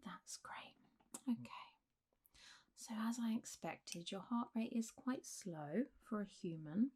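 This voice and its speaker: Soft, breathy woman in medical ASMR roleplay. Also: a little high, expressive. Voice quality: soft, breathy, often whispered. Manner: gentle, reassuring, unhurried bedside manner. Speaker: ASMR performer role-playing a clinic doctor.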